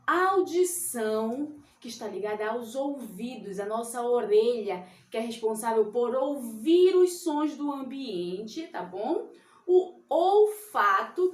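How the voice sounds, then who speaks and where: A woman teaching a science lesson to young children in a slow, sing-song way. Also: a little high. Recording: echoey room.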